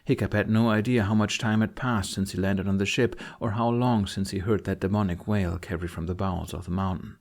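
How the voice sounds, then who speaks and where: A man's voice: low-pitched, fairly even intonation, brisk, creaky, hardly any pauses. A man reading dramatic fiction as a scripted audiobook narrator.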